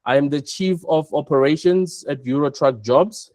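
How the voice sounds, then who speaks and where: A South African man presenting an online webinar, speaking in a measured way and repeating his points for emphasis. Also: a little high, a little mellow, no echo, clean studio sound. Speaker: webinar host, operations chief.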